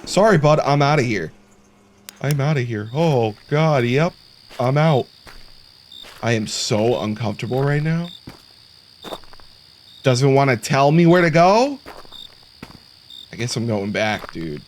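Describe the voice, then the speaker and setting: A man's voice: medium pitch, animated, slow pace, long pauses, darkish tone, slight background noise. A man giving casual let's play commentary on a horror game, sarcastic and jokey as he mutters to himself. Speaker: gaming content creator. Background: horror game sounds of crickets and insects.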